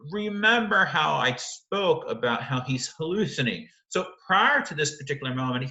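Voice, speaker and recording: A man's voice: mid-range voice, bright, forceful tone, reverberant, low-quality recording. A man teaching literature, walking through the text of a play and explaining it line by line, often correcting himself as he goes.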